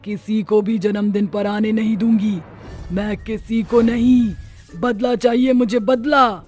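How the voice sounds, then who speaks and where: A woman's voice: medium pitch, fairly even intonation, darkish tone, lightly acted. Woman playing a menacing, gloating witch over music. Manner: menacing, gloating, drawn-out threats. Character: vengeful, gloating female witch who hunts birthday celebrants.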